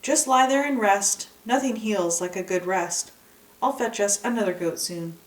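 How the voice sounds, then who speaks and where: A woman's voice: medium pitch, moderate pace, natural voice. A woman voicing a gullible, good-natured male leopard, an earnest and trusting hunter in a children's folktale. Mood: sympathetic. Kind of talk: children's folktale read-aloud. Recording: echoey room, distant microphone.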